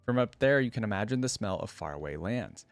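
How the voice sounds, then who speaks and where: A gentle clockwork young woman read by a man, earnest and longing for company. The delivery is measured and storybook-like, in a low, dry voice. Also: animated, no room sound, faint music behind. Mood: hopeful.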